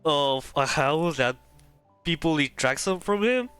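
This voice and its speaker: A man's voice: a little high, lively intonation, takes it slowly, fairly bright tone, clear, resonant tone, no echo, soft background music. A man doing casual, playful game commentary as a streamer, repeating words as he reacts. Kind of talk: casual playthrough commentary, reading some dialogue aloud.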